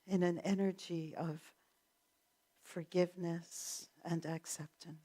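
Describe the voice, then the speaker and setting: Woman, prayer leader, church service, calm affirmations, low voice, slow. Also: slightly rough. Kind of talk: spoken prayer.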